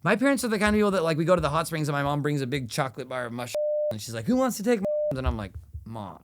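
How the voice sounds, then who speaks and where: A man's voice: on the high side, very animated, rapid-fire, a little hiss or hum. A man in his thirties, a musician talking casually as a podcast guest, jokey and rambling.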